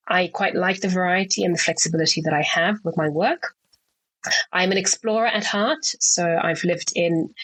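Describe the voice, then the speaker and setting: Woman podcast guest, low-pitched and composed, dry close sound, steady interview answers. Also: no echo, rough recording. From Zimbabwe. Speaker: podcast guest, actuary and coach.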